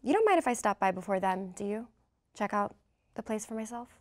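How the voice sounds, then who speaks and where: A woman acts out a poised, flirty businesswoman at a script table read. Her voice sits at a medium pitch, and her delivery is coy and teasing. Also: animated, a little fast, natural voice. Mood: teasing, businesslike. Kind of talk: screenplay table-read acting.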